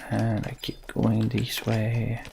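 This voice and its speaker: A man's voice: on the low side, completely flat delivery, slow, creaky, background noise. A man doing casual live commentary on a video game, rambling and thinking aloud as he plays. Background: game music, keyboard typing.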